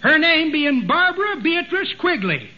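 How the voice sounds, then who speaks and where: A man's voice: very high-pitched, sing-song, unhurried, heavy background noise, full character voice. A man playing a folksy old male tall-tale teller in a 1940s radio comedy, drawling and chuckling, on a dull old radio recording. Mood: folksy yarning. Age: older.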